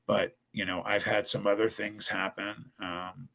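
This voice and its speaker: A man's voice: lowish voice, flat delivery, unhurried, creaky, pushed and bright, rough recording. A man from Ohio, a podcast guest, tells his story in an earnest, rambling way, often restarting his sentences. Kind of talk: casual storytelling.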